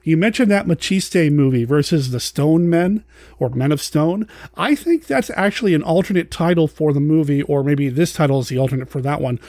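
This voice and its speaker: A man's voice: fairly high pitch, a little fast, darkish tone, dry recording. A man hosting a podcast in casual, unscripted chat, rambling and thinking aloud as he corrects himself. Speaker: podcast host.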